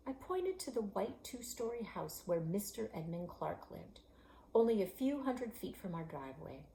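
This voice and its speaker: A woman, a librarian and author, reading her children's novel aloud in an expressive way, sometimes dropping to a whisper. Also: fairly low pitch, vocal fry, a little hiss or hum, rough recording.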